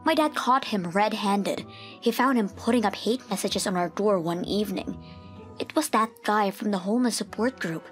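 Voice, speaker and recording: A woman's voice: mid-range voice, moderate pace, natural voice. A woman playing an expectant mother who narrates her own past in a scripted comic dub, over music. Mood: recounting, troubled.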